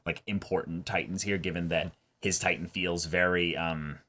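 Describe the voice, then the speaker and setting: A man's voice: low-pitched, fairly even intonation, normal speed, bright, forceful tone, a little hiss or hum. A man co-hosting a reaction show, talking in casual, emphatic fan analysis with repeated phrases. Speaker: co-host of an anime reaction show. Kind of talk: casual chat, fan analysis of an anime episode.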